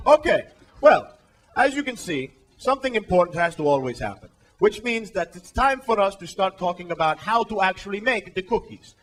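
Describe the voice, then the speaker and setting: A man's voice: high voice, expressive, moderate pace, low-quality recording. A man playing a vain, boastful Italian cooking show host, with an Italian accent and a pompous, theatrical delivery in a comic sketch. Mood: brisk, showy.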